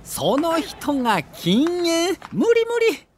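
A man's voice: very high-pitched, huge pitch swings, slow, a little mellow, a little hiss or hum. A man reading a scripted documentary narration with a theatrical storyteller's delivery.

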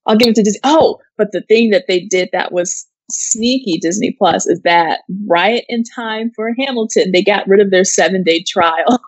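A woman's voice: medium pitch, normal speed, no echo. A woman co-hosting an entertainment live show in casual, informal chat. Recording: dull, lo-fi dry audio.

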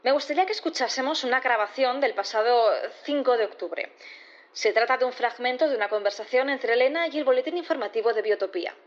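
A woman's voice: on the high side, fast, dull audio, close to an everyday voice. An actress playing a shrewd, insistent prosecutor in a sci-fi audio drama, formal and rhetorical in her questioning. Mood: probing. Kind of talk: audio drama courtroom acting.

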